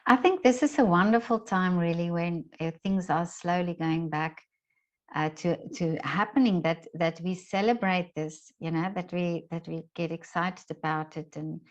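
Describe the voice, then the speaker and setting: A woman's voice: lowish voice, normal speed. A woman hosting a casual conversational interview, phrasing hesitantly and repeating words, on a dull lo-fi recording. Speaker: interviewer and host.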